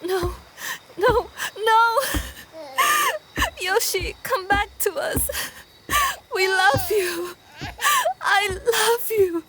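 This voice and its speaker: A very high-voiced, loving mother and wife played by a woman speaks in slow, emotional phrases. She is an anxious audio drama heroine, recorded with noticeable noise. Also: steady tone, a bit bright, full character voice. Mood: pleading.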